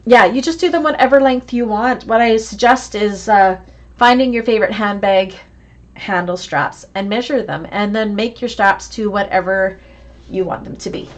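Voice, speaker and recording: A woman teaching a live online sewing class, chatty and encouraging as she answers viewer comments. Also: mid-range voice. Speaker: sewing instructor.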